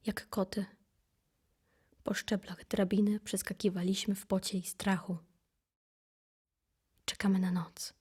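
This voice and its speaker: A woman reciting poetry for an audio drama in an intense, solemn, deliberate manner. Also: medium pitch, mellow, breathy edge. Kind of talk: dramatic poetry recitation, from whisper to impassioned cries. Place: recording studio.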